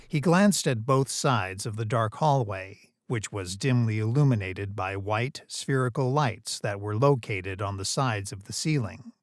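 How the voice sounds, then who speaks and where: A man's voice: lowish voice, unhurried, hardly any pauses, no echo. Man narrating a story, very dry sound.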